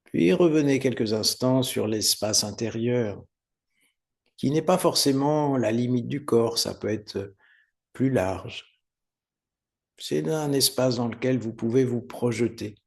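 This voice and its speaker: A man teaching guided meditation, giving unhurried, soothing step-by-step instructions with pauses. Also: medium pitch, vocal fry, darkish tone. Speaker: yoga nidra meditation teacher.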